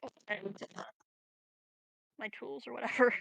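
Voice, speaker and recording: A woman's voice: a little high, slow pace, gravelly, a bit bright, slight background noise. A woman playing a tabletop game, chatting casually as a player.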